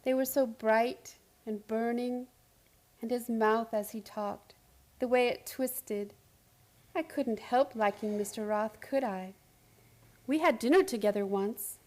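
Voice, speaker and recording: A very high-pitched female voice in a radio play. An actress plays an earnest, troubled schoolteacher who confides intensely and pleads to be believed. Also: takes it slowly, darkish tone. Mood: confiding, wistful. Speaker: narrator and lead character of radio play. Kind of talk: radio drama acting.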